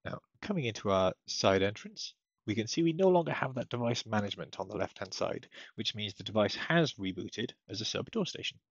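A man with a low, husky voice talks through software steps casually, as a technical support presenter. The sound is dull and slightly lo-fi, recorded at a computer. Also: dry recording. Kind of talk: casual step-by-step software tutorial narration. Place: indoors at a computer, screen-recording voice-over. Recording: dull lo-fi close dry microphone.

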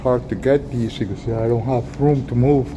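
A man's voice: steady tone, normal speed, mellow. A man narrating a casual handheld vlog, speaking to viewers in short, offhand remarks. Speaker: vlogger filming a walking tour. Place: underground subway station platform. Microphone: handheld phone, held by speaker behind camera. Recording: noisy subway platform, train rumble. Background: trains and station rumble.

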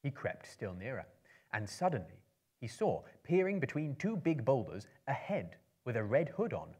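A man in his thirties giving a measured, expressive live dramatic reading as a storyteller. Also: medium pitch, husky. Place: indoor book-lined venue before a small live audience.

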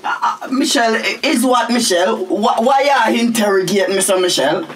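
A man's voice: high-pitched, lively intonation, brisk, fairly bright tone, a little hiss or hum. An actor playing a flustered, grumbling Jamaican family father in a radio comedy drama, stammering as he complains. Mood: flustered complaining.

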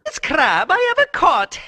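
A woman's voice: very high-pitched, bright, forceful tone, no echo, full character voice. A woman playing a cheery, folksy old fisherman with exaggerated delivery in a cartoon video game cutscene. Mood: jovial.

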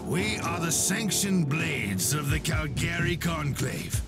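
A man acting a battle-hardened, sardonic space marine sergeant in a video game, gruff, wry and theatrical. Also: a little high, animated, slow, over loud music. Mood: wry, grave.

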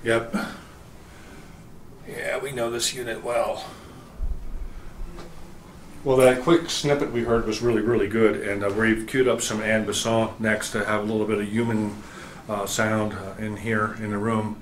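A man interviewing casually on camera in a hotel room at an audio show, with somewhat halting phrasing. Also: fairly low pitch, little pitch movement. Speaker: video reviewer and interviewer. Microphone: camera microphone, held by the speaker. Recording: echoey room, faint background room noise.

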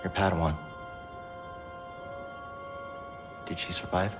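Actor as an earnest young hero. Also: male voice, low voice, steady tone, quick pace, close to an everyday voice. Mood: quiet, curious. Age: young adult. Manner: understated, earnest, dryly wry. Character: earnest, determined young male hero, former scrapper. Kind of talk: naturalistic video game acting. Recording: game music behind the voice. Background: clear cinematic music.

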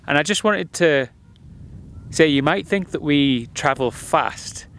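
A man's voice: on the high side, slow, creaky, fairly bright tone, a little hiss or hum. A young man talking casually to camera outdoors beside a van, explaining his travel plans in a chatty way. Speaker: van life vlogger. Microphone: handheld camera at arm's length.

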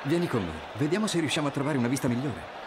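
A charming, upbeat young husband voiced by a man with a very lively pitch, devoted in manner. It is animated game dialogue over clear background noise. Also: rapid-fire, crowd noise, lightly acted. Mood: tender.

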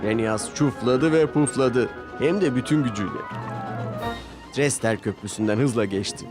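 Male narrator, children's cartoon story; medium pitch, expressive scripted read, prominent music bed. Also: normal speed. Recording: music loud behind the voice. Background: background music.

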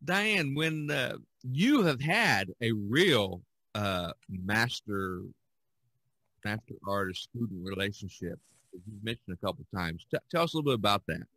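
Male podcast host, lowish bright voice, rambling casual talker, dry close sound. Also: lively intonation, normal speed, no echo, rough recording. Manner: rambling, repeats himself.

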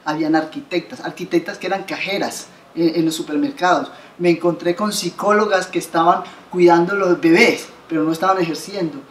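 A woman's voice: fairly low pitch, steady tone, brisk, hardly any pauses, slight background noise. A woman in her fifties from Colombia, telling her story as an interview guest in earnest conversational talk with many restarts. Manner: earnest, many restarts and repeated words, gestures while speaking. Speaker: interview guest, association founder. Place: bright living room by a window, indoors. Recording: roomy living room sound.

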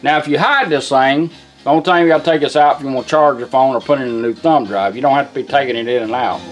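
A man's voice: mid-range voice, quick pace, muffled recording. A man giving a casual, plain-spoken, folksy hands-on product demonstration in short step-by-step instructions. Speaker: presenter demonstrating a custom car radio. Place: indoors at a workbench. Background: guitar music from the radio being demonstrated.

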